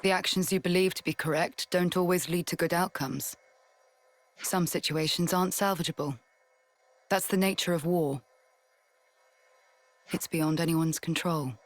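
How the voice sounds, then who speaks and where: An actress playing a weary woman army commander who speaks in a measured, grave, formal way. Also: lowish voice, fairly even intonation, dry recording, natural voice. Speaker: military commander.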